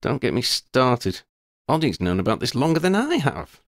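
A man's voice: medium pitch, very animated, normal speed, gravelly, no echo, clean studio sound, pitched above the performer's own voice. A man reading aloud and voicing a frank, protective teenage schoolgirl who speaks earnestly and reassuringly. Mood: wry. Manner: raised put-on voice, earnest and reassuring.

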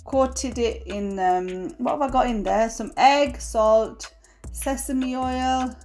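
A woman giving a relaxed, chatty voice-over of her home cooking, with fillers now and then. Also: on the high side, slow pace, soft background music. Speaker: cooking vlogger. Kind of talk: casual voice-over narration.

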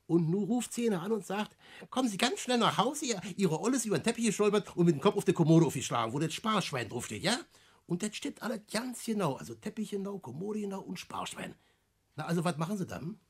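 Husky, cocky old crook. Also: male voice, sits high, sing-song, fast talker, heavily acted. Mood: playful, lecturing. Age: older. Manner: cocky, colloquial, lecturing. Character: cocky ageing small-time schemer, full of himself. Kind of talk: 1960s German radio play acting.

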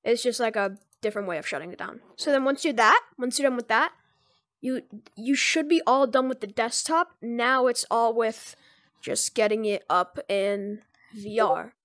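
A speaker giving informal, improvised step-by-step instructions in a casual screen-recorded software tutorial. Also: medium pitch, moderate pace, dry recording, studio quality. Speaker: tutorial presenter.

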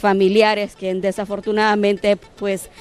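A woman's voice: medium pitch, level tone, measured pace. A woman in her thirties with a Honduran accent, giving a somber live field report outdoors into a handheld mic, with frequent fillers. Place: outdoors on a street by a barbershop. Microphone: handheld microphone.

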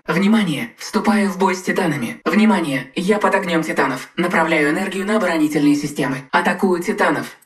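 A woman voicing a dutiful female combat mech AI in a game, giving crisp, measured status reports. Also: fairly low pitch, close to an everyday voice. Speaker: titan AI voice. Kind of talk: game voice lines, Russian dub.

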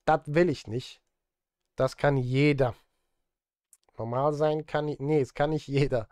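A man's voice: on the high side, steady tone, unhurried, darkish tone, no echo, clean studio sound. A man, chatting casually on a live stream as he works, with self-deprecating, joking asides. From Switzerland. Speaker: streamer and film composer. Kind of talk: casual live-stream chat while composing. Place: indoor streaming setup.

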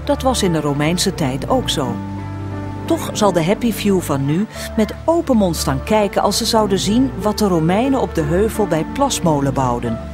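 A woman reading scripted documentary narration as the narrator of a history piece. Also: medium pitch. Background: music.

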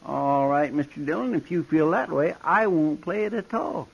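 A man's voice: fairly high pitch, moderate pace, creaky, husky. An actor playing a cheeky young male deputy with a folksy drawl in a 1950s radio drama. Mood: sulky. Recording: dull old radio broadcast.

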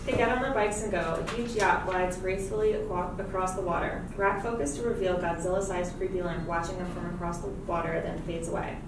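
A woman's voice: lowish voice, steady tone, a little fast, few pauses. A woman reading screenplay stage directions as scripted narration in a meeting room. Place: meeting room with long glass table, indoors. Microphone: distant camera microphone. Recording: echoey room, distant mic, clear background noise.

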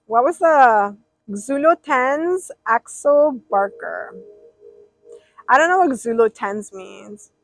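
A woman's voice: fairly high pitch, animated, slow, gravelly, dry recording. A young woman livestreaming outdoors by a pool, rambling casually and reading out viewers' names. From Persian.

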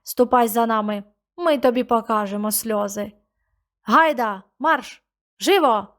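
Woman doing a gruff male guard, commanding, barking orders, high voice. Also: measured pace, close to an everyday voice. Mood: harsh.

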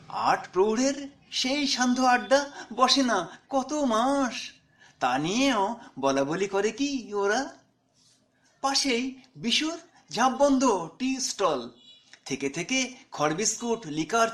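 A man's voice: high-pitched, fairly bright tone. A man reading his own short story in a conversational way, with dialogue.

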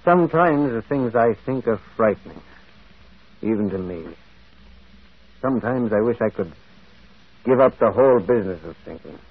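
An actor playing a gentle, shrewd priest detective in a radio drama, musing and deliberate, quietly insistent. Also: male voice, mid-range voice, expressive, breathy edge. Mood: pensive. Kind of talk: old radio drama acting. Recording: muffled, tinny vintage radio broadcast.

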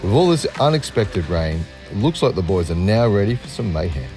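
Aussie guy doing voiceover narration, low voice, music playing under it. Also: very animated, brisk, dark tone. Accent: Australian. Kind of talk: scripted voice-over narration.

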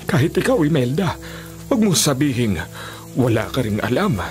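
A man's voice: mid-range voice, sing-song, moderate pace, faint music behind, close to an everyday voice. An actor playing an older village man in a Filipino radio drama, earnestly telling tales with hesitant, emphatic phrasing. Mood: earnest, insistent. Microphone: studio condenser mic with pop filter.

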